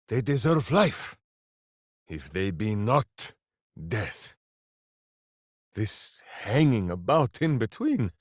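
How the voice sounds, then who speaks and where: A hulking, earnest hero who speaks of himself in third person. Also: male voice, mid-range voice, vocal fry, no room sound, clean studio sound, full character voice. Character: hulking earnest male ranger warrior with a pet hamster. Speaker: video game character. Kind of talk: game voice lines.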